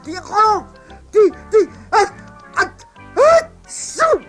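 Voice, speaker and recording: A man's voice: extremely high pitch, animated, takes it slowly, sharp tone, soft background music, voice pushed up, exaggerated voice. A man voicing a sneezing old grandmother witch with a bad cold, talking in a nasal, sniffly way.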